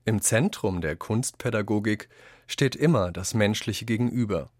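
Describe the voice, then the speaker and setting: Lower-pitched man reading quotations, dry sound. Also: no room sound. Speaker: reader of quotations in a radio essay. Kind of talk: scripted reading of quoted texts.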